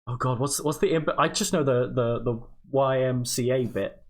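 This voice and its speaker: A livestream host chatting casually, in a halting, self-deprecating way. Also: mid-range voice. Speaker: stream host.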